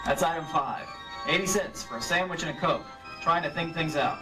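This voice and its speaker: Man playing fast-talking hard-boiled radio detective. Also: a little high, close to an everyday voice. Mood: matter-of-fact narrating. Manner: hard-boiled, matter-of-fact. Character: cool, wry male insurance investigator, hard-boiled narrator. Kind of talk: 1940s-50s radio detective acting. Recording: live stage re-creation, distant mic, music.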